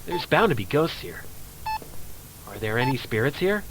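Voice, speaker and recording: A man's voice: mid-range voice, sing-song, moderate pace, noisy background. A man playing a brash, casual amateur ghost hunter in found-footage horror, muttering to himself. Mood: grossed out, annoyed.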